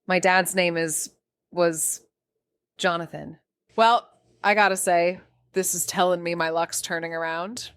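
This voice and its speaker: Young female amateur sleuth, audio noir: lowish voice, dry sound, sardonic, restless, slangy narration. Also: steady tone, unhurried, a bit bright, smooth, no room sound. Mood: jokey, excited. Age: young adult. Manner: wry, sarcastic, slangy. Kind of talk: first-person noir audio drama narration with dialogue.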